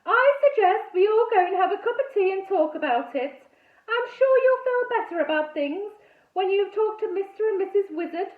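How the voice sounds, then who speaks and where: A woman's voice: very high voice, animated, normal speed, voice pushed up. Woman doing a stern, scolding aunt. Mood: indignant. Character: stern fussy aunt, grown woman, easily annoyed. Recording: tinny, echoey phone-like recording.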